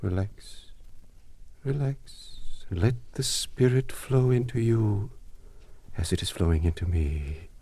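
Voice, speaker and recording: An actor in a 1940s radio mystery playing a solemn, commanding fake spirit medium with a portentous manner. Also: male voice, on the low side, slow pace, mellow, slight background noise.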